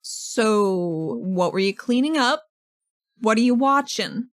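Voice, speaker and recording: A woman's voice: fairly high pitch, expressive, takes it slowly, no room sound. A woman playing a wry, unbothered female vigilante, casual and needling, in a tabletop roleplaying game. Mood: deadpan, probing.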